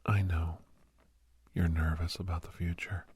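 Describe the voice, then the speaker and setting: A man playing a gentle, reassuring doctor in a soft-spoken ASMR roleplay, with a husky, breathy voice and halting, hesitant delivery. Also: low-pitched, little pitch movement, slight background noise. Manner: soothing, hesitant, halting pauses. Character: gentle, reassuring male doctor counseling a nervous young werewolf.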